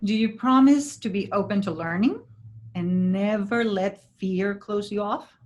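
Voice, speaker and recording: A woman's voice: mid-range voice, measured pace, darkish tone, close to an everyday voice. A woman playing the kindly but firm leader of travelling actors in a staged play reading. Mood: solemn, welcoming.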